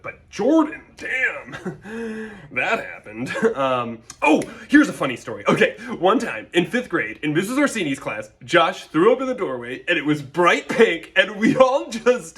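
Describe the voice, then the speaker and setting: A man playing a tactless, awkward best man in a comic wedding toast, halting and trailing off. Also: on the high side, fairly bright tone, pitched below the performer's own voice.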